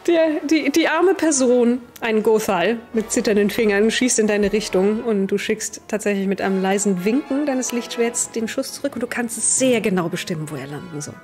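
A woman's voice: on the high side, normal speed, few pauses, a little mellow, faint music behind. A young woman improvising the narration of a tabletop roleplaying game as game master. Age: young adult.

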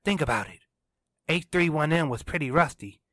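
A man's voice: on the high side, lively intonation, moderate pace, sharp tone, no echo, clean studio sound, voice pushed up. A man voicing an earnest, eager teenage boy in a story, insistent and sure of himself. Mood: earnest, certain.

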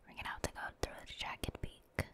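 A woman doing ASMR gameplay commentary in a soft, breathy whisper, unhurriedly musing aloud and casually talking to her viewers. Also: brisk. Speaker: game streamer, ASMR creator.

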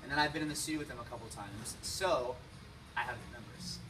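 A man's voice: medium pitch, fast, over music. A young adult man chatting casually and jokingly with friends, picked up by a handheld camera indoors. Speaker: boy band member making prank calls. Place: hotel-like living room, indoors. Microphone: handheld camera nearby. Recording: noisy handheld camera room sound.

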